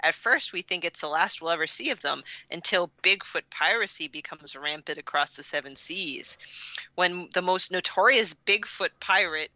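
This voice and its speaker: A woman's voice: on the low side, normal speed, pushed and bright, dry recording, muffled recording. A woman co-hosting a podcast, giving comic, mock-dramatic readings and chatting casually, often on the edge of giggles. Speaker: podcast co-host.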